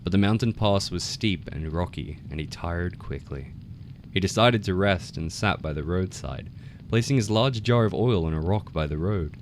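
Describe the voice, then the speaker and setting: Male folktale narrator, very low voice, theatrical and measured; noticeable background noise. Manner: theatrical, measured bardic delivery. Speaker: storyteller.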